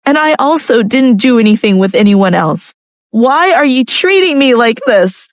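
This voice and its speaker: A woman voicing a desperate young wife who pleads with her husband through tears, her voice pitched high above her narration. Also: animated, unhurried, a little mellow, no room sound, clean studio sound. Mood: distraught.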